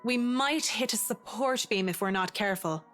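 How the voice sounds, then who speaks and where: An actress voicing a knowledgeable female architect in a video game, explaining things in a measured, matter-of-fact way. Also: fairly high pitch, a bit bright, faint music behind, close to an everyday voice.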